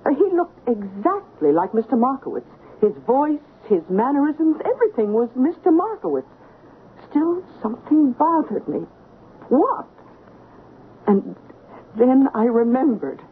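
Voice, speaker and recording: An actress playing a sharp, earnest, resolute household assistant in a 1970s radio drama. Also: female voice, sits high, very animated, unhurried, phone-quality sound. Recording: thin, faintly noisy 1970s radio broadcast.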